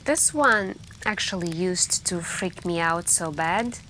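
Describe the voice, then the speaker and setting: A soft-spoken woman telling scary stories in an ASMR style, hushed and intimate with pauses and drifts into whispering. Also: fairly low pitch. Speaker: ASMR roleplay performer playing a friend telling campfire stories. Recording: steady background ambience noise. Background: crackling campfire and night ambience.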